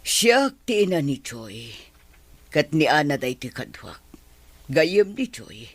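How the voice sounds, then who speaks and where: An actress playing an anxious middle-aged mother in a radio drama, with a husky voice and an Ilocano accent. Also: low-pitched, big pitch swings, slow pace, exaggerated voice. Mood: polite.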